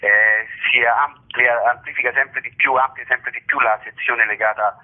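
A man's voice: medium pitch, fairly even intonation, moderate pace. A man being interviewed as a festival director, talking spontaneously and effusively, rambling and repeating words for emphasis. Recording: muffled, narrow phone line.

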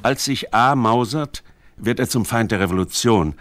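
A man reading scripted radio feature narration in a measured, precise and formal manner. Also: mid-range voice, fairly bright tone. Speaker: documentary narrator. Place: dry studio.

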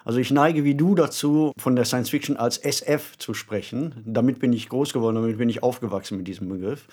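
Husky-voiced man co-hosting a podcast. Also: medium pitch, fairly quick, darkish tone. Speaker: podcast co-host. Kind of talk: conversational presenting of radio plays.

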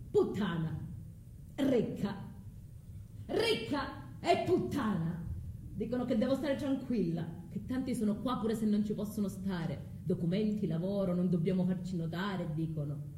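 A woman's voice: a little high, darkish tone. In an echoey room with faint noise, a furious scorned immigrant wife with a southern Italian accent. Her delivery is theatrical and impassioned, swinging dramatically from bitter muttering to defiant outcry. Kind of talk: theatrical monologue in mixed dialect.

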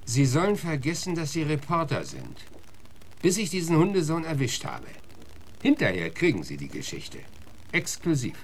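An actor playing a tough, threatening Wild West marshal in a German radio play, questioning bluntly and insistently. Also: male voice, a little high, wildly swooping pitch, moderate pace, slight background noise. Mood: stern.

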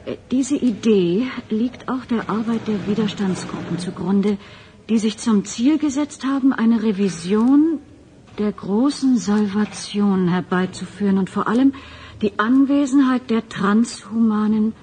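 A woman's voice: medium pitch, unhurried, dark tone, slight background noise, lightly acted. An actress playing a direct, questioning woman in a radio drama. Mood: reading aloud.

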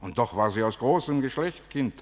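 A man's voice: medium pitch, lively intonation, moderate pace, close to an everyday voice. A man playing a chorus of Theban elders, solemn and mocking, in a classical verse radio drama. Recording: long hall reverb.

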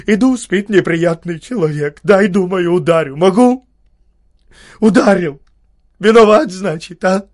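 A man voicing a desperate young ex-convict who shouts hoarsely and chokes on his words. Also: high-pitched, measured pace, pitched above the performer's own voice. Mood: anguished. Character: desperate young male ex-convict, wild and anguished.

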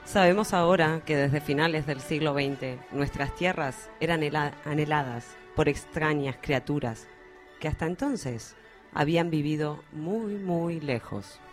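A melodramatic orator of radio theatre, acted by a man, speaks in a fairly high male voice over music. He is grandiose and foreboding, delivering dire warnings as a scripted prologue. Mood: ominous lament. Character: ominous radio-drama prologue orator warning of sinister invaders. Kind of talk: theatrical scripted narration, War of the Worlds parody.

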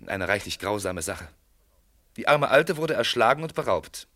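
An actor playing a shrewd, unhurried police inspector in a German radio play, probing in a courteous, matter-of-fact way. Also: male voice, fairly low pitch, very animated, pushed and bright.

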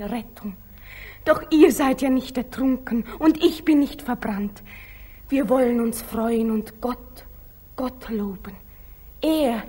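A dreamy, devout young daughter in a 1940s German radio play, with a very high voice. She speaks in breathy, rapturous stage declamation on an aged recording. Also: a little mellow.

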